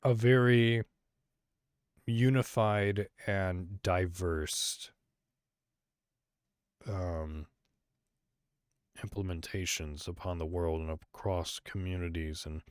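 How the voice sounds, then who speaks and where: A man's voice: deep voice, steady tone, slow pace, pauses often, smooth, no room sound, clean studio sound. A man from Illinois hosting a solo podcast, talking casually and rambling with frequent fillers and restarts. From Illinois, USA. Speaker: podcast host.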